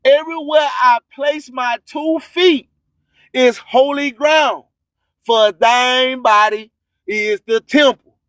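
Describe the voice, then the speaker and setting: A man's voice: very high-pitched, slow, a bit bright, clean tone, dry recording, clean studio sound. An American man hosting a radio show, preaching spiritual motivation in a declamatory, repetitive, rhyming delivery. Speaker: radio host.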